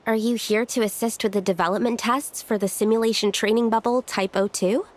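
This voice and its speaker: Woman playing a highish, shy young scientist. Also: steady tone, brisk, a little hiss or hum, close to an everyday voice. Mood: polite. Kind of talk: video game voice acting.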